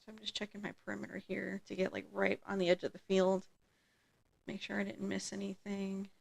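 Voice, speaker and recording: A woman streaming a farming game, chatting casually through her gameplay in a relaxed, rambling way, a little congested from allergies. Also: medium pitch, brisk, vocal fry. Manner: relaxed, chatty, trailing off with ums.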